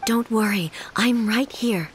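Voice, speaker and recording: An actress playing an ageless, solemn ruler in a dramatic video game, speaking in a measured, formal, gentle way. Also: female voice, on the high side, slight background noise, close to an everyday voice. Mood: calm, reassuring. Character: ageless female ruler, solemn, composed and sorrowful.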